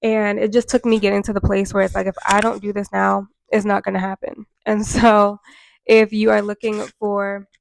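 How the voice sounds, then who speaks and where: A woman's voice: mid-range voice, flat delivery, normal speed, creaky, dry recording, low-quality recording. A woman in her twenties, nasal and tired from a cold, chatting earnestly to camera in a casual vlog with many fillers. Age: 20s. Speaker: vlogger. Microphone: microphone.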